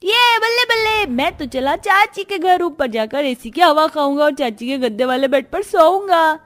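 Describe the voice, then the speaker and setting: A cheeky little boy voiced by a woman, very high and eager. It is a childlike cartoon voice with faint music underneath. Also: expressive, moderate pace, fairly bright tone. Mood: excited. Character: excitable, cheeky young schoolboy.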